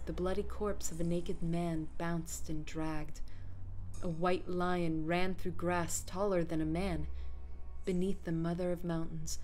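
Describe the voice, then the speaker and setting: A woman's voice: fairly low pitch, darkish tone. A woman giving a dramatic, audiobook-style reading of fantasy prose. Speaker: reader of book quotations.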